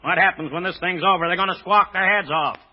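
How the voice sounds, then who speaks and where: A man's voice: sits high, fast. A male actor playing a sardonic, wisecracking gangster henchman in a vintage radio drama. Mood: matter-of-fact, warning. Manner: dry, sarcastic, slangy. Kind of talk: 1930s-40s American radio drama acting. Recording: muffled, dull vintage radio recording.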